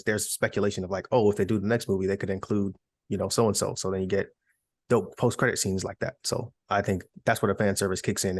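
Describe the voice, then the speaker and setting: Fast-talking man in casual podcast chat. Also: sits low, no echo. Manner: informal, explains with hypothetical asides. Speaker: podcast co-host.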